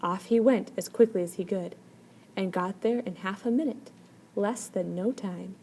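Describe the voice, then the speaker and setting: A woman reading a children's story aloud as an expressive storyteller. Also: medium pitch, normal speed. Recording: clear background noise.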